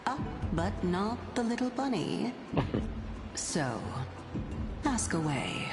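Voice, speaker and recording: A woman's voice: mid-range voice, sing-song, music in the background. An actress playing a mysterious, teasing woman guide in a video game, speaking in a knowing, playfully superior manner. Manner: teasing, drawn-out, knowing delivery. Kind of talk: English video game voice acting.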